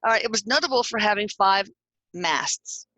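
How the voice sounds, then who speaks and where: Bright, chatty middle-aged woman. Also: mid-range voice, lively intonation, normal speed, no echo, low-quality recording. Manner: chatty, jokey, reads with asides and spelled-out names. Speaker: host. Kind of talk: casual chat, reading aloud from notes.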